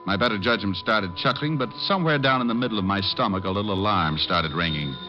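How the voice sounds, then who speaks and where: An actor playing a sardonic American private detective in old-time radio drama, narrating and talking in a dry, flippant manner. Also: male voice, fairly low pitch, quick pace, close to an everyday voice. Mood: wry narration. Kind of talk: 1940s-50s radio detective narration and acting. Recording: dull old-time radio, music behind.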